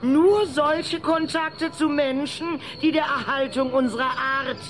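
A woman playing a stern, scolding older vampire aunt in a German children's radio play, with drawn-out theatrical delivery. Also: high voice, slight background noise.

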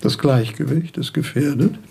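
An actor playing a frail, eccentric old philosopher in a radio drama, halting and pedantic, with a husky, creaky voice. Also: male voice, a little high, warm tone, exaggerated voice. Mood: pedantic, earnest. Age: older. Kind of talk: German radio drama acting.

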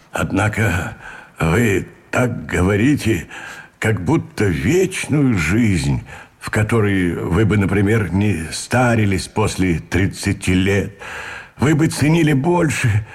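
An actor playing a condescending, philosophical Russian army colonel in a radio play, with patronizing, deliberate phrasing. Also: male voice, lowish voice, breathy edge, exaggerated voice.

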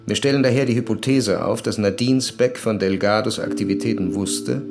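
An actor playing a determined, grim police commissaire in a crime radio drama, speaking deliberately and formally. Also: male voice, fairly low pitch, creaky, over music. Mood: formal, insistent.

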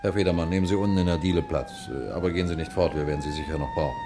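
An actor playing a composed, shrewd police inspector who narrates and questions matter-of-factly in a radio crime play. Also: male voice, sits low, huge pitch swings, noisy background. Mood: probing, matter-of-fact. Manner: matter-of-fact, measured, inquisitive. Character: composed, shrewd male police detective inspector telling his case. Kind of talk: German radio crime play, first-person narration and dialogue.

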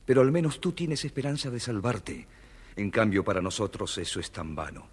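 Proud stork caliph, husky voice, dramatic swings, theatrical, commanding, audio storybook. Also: male voice, fairly low pitch, sing-song, normal speed. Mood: solemn, courteous.

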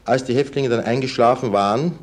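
A sober witness played by an actor testifies in a documentary radio play. His voice is medium in pitch, halting and matter-of-fact. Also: close to an everyday voice.

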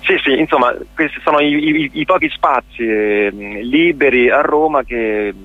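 A man being interviewed as an actor on a radio programme. He explains things haltingly, reaching for his words, in an ordinary mid-pitched voice. The sound is very dry and full-band. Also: dry recording, narrow, tinny audio. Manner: hesitant, halting, searching for words.

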